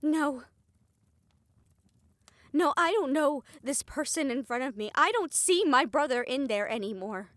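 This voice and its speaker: A woman's voice: high voice, expressive, a little slow, long pauses, a bit bright, no echo. A woman playing a grieving, reproachful young vampire sister, emotional and pleading, in an audio roleplay drama. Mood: angry, hurt.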